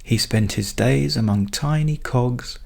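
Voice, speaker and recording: A man narrating a bedtime story as a calm, gentle storyteller in a soft, soothing manner. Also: medium pitch, a little slow, creaky.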